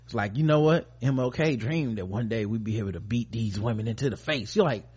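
A man's voice: medium pitch, brisk, a little mellow, husky. An American man hosting a podcast, giving casual, comedic news commentary in an irreverent, sarcastic, sweary way. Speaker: podcast host.

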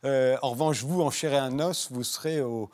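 A man hosting a talk show, asking relaxed conversational questions to his guests. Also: mid-range voice, normal speed.

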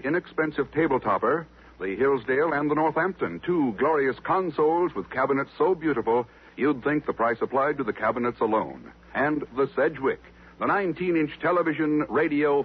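A man's voice: mid-range voice, husky. A male announcer delivering scripted 1950s radio copy in a polished, emphatic way. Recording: tinny 1950s radio recording, music behind.

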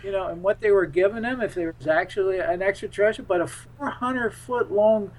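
A man being interviewed as a guest, recounting things in a halting, rambling way and often repeating his phrases. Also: high-pitched, low-quality recording. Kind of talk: conversational interview, recounting anecdotes.

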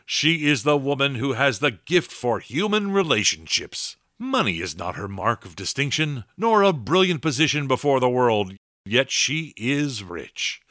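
A man's voice: mid-range voice, very animated, unhurried, sharp tone, dry recording, studio quality. An actor playing a grandiose man announcing and narrating in a dramatic 1930s radio style. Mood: lofty salesmanship. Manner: dramatic, emphatic. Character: grandiose male old-time radio announcer.

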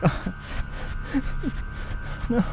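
A man's voice: extremely slow, noisy background. A male actor playing a guilt-stricken boy, sobbing and breathlessly begging in an intense horror drama. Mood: anguished, pleading. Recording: loud music behind.

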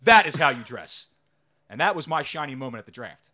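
A man, co-hosting a podcast in loud, animated casual chat, leaning close to the mic. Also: medium pitch, brisk. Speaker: podcast co-host. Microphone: close studio mic.

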